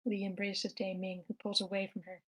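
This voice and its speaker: A woman's voice: medium pitch, monotone, moderate pace, fairly bright tone. A woman reading out scripted stage directions as the narrator at a screenplay table read.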